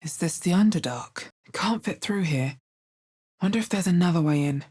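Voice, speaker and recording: An actress voicing a guarded, practical female adventurer in a video game, with a husky voice and dry, understated delivery. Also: fairly low pitch, lively intonation, normal speed, a little mellow. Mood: wry, focused. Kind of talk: video game character voice lines.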